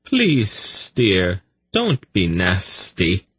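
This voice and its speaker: A man's voice: deep voice, huge pitch swings, very slow, a bit bright, slightly rough, heavily acted. A man voicing a nervous, apologetic, fussy pet owner in an audiobook story, pleading and coaxing. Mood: soothing, gently scolding.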